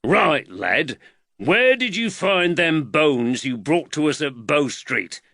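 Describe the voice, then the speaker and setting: Man as a gruff, blunt London constable. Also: on the high side, sing-song, slow pace, pushed and bright, dry recording, clean studio sound, voice pushed up, heavily acted. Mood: brusque. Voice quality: growling. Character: gruff, no-nonsense 19th-century London police constable.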